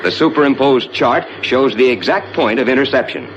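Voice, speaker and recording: An actor playing a calm, reassuring rocket ship captain who explains the flight to passengers in measured, courteous 1950s ride narration. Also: male voice, medium pitch, dull audio, close to an everyday voice. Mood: composed, instructive. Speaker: spaceship captain and tour narrator. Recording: noisy vintage 1950s ride soundtrack.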